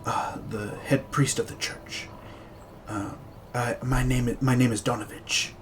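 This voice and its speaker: A nervous old priest in a tabletop game, talking in a hushed, stammering voice, as the game master puts him on. His voice drops low and quiet, with an air of grim secrecy. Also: steady tone, measured pace, lightly acted. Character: nervous older male village priest.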